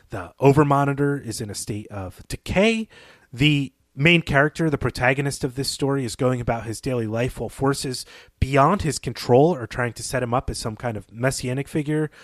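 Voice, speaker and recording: A man's voice: mid-range voice, dry recording. Male podcast host, mid-range voice, conversational literary analysis, dry studio-close sound.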